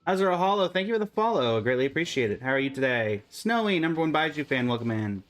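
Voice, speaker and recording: A man's voice: mid-range voice, very animated, fast, a bit bright. A man livestreaming a game, chatting casually and in a friendly way with viewers while commenting on his play.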